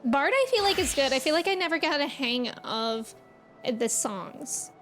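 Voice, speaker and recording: Female game streamer, high voice, informal and appreciative, casual live chat with faint music behind. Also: lively intonation, normal speed, fairly bright tone. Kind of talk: casual livestream chat with viewers.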